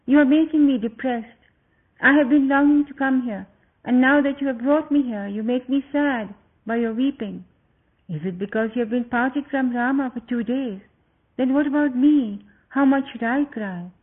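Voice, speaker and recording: A storyteller voicing a gentle, devoted young queen who grows anxious about her husband. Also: high voice, normal speed, slightly rough, pitched above the performer's own voice. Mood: anxious pleading. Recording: tinny phone-like lo-fi sound.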